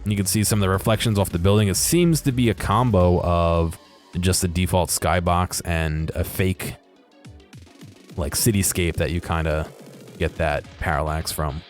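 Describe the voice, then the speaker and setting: A man's voice: deep voice, steady tone, moderate pace, faint music behind. A man giving casual, unscripted commentary on game footage, thinking aloud in a hesitant, musing way. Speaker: video creator narrating game footage.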